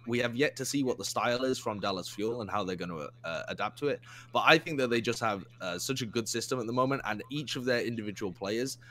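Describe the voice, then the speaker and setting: A young man co-hosting an esports chat, laying out measured, reasoned analysis with some dry humor. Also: on the low side, sharp tone, slight background noise. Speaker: co-host analyst.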